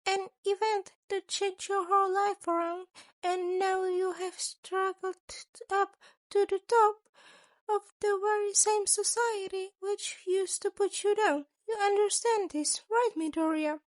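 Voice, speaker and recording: Woman voicing a high-pitched, sly little mammal principal. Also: fairly even intonation, a little slow, dry recording. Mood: smooth, menacing. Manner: elaborately polite, wordy, theatrical. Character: small sly chimera mammal school principal, male, sadistic, politely menacing.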